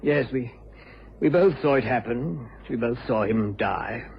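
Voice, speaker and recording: An actor playing a grieving country gentleman, father of a grown son, in a radio crime drama, earnest and emphatic. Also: medium pitch, expressive, unhurried, gravelly. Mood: earnest recounting. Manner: earnest, emphatic, recounting witness. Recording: dull, hissy old radio recording.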